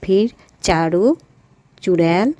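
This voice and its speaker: Slow, mellow woman telling children's stories. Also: lowish voice, expressive, no echo. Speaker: storyteller. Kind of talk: simple children's story narration.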